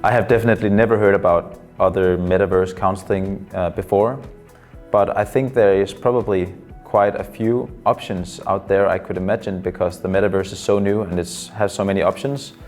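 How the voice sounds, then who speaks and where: A Danish man in his twenties, a psychology student and counselor, giving thoughtful interview answers in English as a second language, often pausing with fillers. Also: sits low, fairly even intonation, darkish tone, soft background music. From Denmark. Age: 20s.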